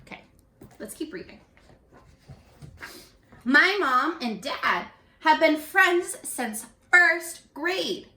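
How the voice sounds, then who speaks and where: A woman reading a picture book aloud to young children in an expressive, instructive way, speaking to them directly as a teacher. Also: sits high, drawn-out words, long pauses. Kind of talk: read-aloud for young children with questions to the listeners. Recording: echoey room, distant microphone.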